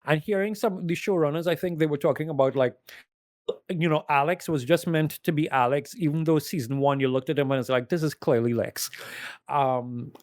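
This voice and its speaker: A man's voice: a little high, a little fast, no room sound, studio quality. A man giving a casual, earnest, emphatic review on a podcast. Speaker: podcast panelist.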